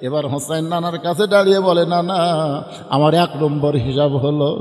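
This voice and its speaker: High-pitched impassioned male preacher, heavy reverb. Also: a little fast, a little mellow. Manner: impassioned, theatrical, swinging between cries and lower speech. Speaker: Islamic preacher. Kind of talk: dramatic storytelling sermon with acted dialogue. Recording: echoey hall with long reverb.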